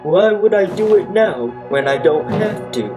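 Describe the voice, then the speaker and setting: A man's voice: sits high, animated, measured pace, over music. A man playing a lazy, laid-back gamer monster who talks in casual dude slang in a comic radio play. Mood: whiny excuses. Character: lazy, laid-back young slacker monster who loves video games.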